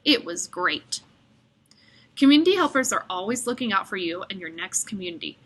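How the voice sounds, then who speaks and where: A woman reading a children's picture book aloud as an expressive storyteller. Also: medium pitch, fast talker, slight background noise.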